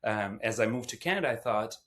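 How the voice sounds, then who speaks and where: A man's voice: fairly low pitch, lively intonation, a little fast, a bit bright. A middle-aged man from Albania, speaking as an interview guest and telling his story conversationally. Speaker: podcast guest, company founder and former lawyer.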